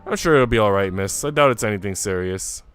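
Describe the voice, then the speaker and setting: A man's voice: fairly low pitch, lively intonation, fast, a bit bright, clear, resonant tone, faint music behind. A man voicing a polite, mild and reassuring young maid in a visual novel, practical in manner.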